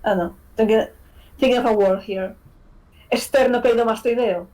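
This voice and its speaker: A fairly high-voiced woman whose first language is Spanish talks as a guest on a casual podcast. She is lively and tosses in "right?" and "like" constantly. She swears casually as she goes. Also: brisk, a little hiss or hum, rough recording. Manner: animated, fillers like "right?", swears freely. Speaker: game developer guest.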